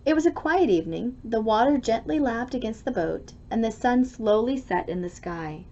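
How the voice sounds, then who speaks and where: A woman reading a children's storybook aloud expressively, with dramatic, deliberate emphasis. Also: a little high, animated, darkish tone, highs cut off.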